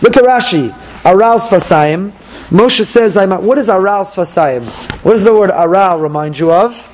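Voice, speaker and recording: A rabbi leading a Torah class, animated and questioning his listeners as he goes. His voice sits high for a man, and he repeats key phrases to drive them home. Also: moderate pace, slight background noise, rough recording.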